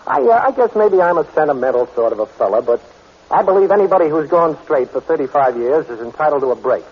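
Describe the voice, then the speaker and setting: An American actor playing a cool, good-hearted reformed thief in a 1940s radio drama, wry and assured. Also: male voice, a little high, expressive, fast, slightly rough. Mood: reassuring. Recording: muffled old radio recording.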